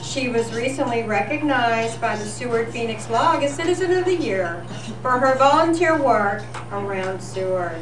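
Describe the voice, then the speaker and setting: A woman's voice: a little high, animated, normal speed, over music. A woman hosting a live show, reading scripted announcements in the style of an old-time radio newscast. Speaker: host of a live radio-themed tribute show. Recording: distant echoey live event, noisy.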